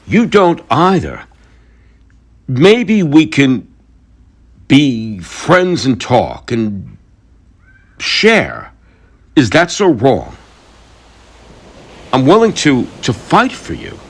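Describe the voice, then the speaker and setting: A man playing a weary, brooding thunder god in a mythic audio drama, confiding and halting in his burdened role as protector. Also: a little high, slight background noise, exaggerated voice. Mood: vulnerable, pleading.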